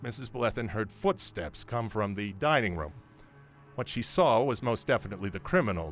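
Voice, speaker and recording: Low-voiced, scornful police sergeant played by a man. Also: fairly bright tone. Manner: authoritative, dismissive, lecturing. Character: brusque, scornful male police sergeant in command. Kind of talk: audio drama acting. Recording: music behind the voice.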